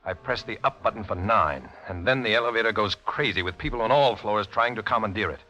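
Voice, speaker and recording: An actor playing a tough, wry undercover agent in a 1950s radio noir drama, with clipped delivery. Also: male voice, fairly low pitch, expressive, a little fast, bright, forceful tone. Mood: tense, confiding. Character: hard-boiled male undercover agent posing as communist. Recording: band-limited old radio recording with music.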